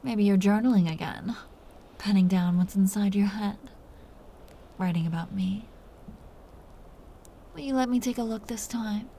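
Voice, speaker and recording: A woman playing a teasing, seductive, dominant vampire captor in an intimate roleplay audio drama, talking in breathy half-whispers. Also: mid-range voice, a little slow, pauses often, mellow, slight background noise, close to an everyday voice. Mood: sultry menace.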